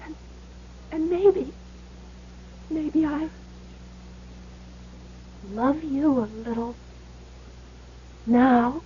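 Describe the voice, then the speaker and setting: A woman's voice: high voice, very slow, long pauses. An actress playing an emotional woman in a 1970s radio melodrama. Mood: tender, hesitant. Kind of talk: 1970s radio drama acting. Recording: muffled, noisy old radio recording.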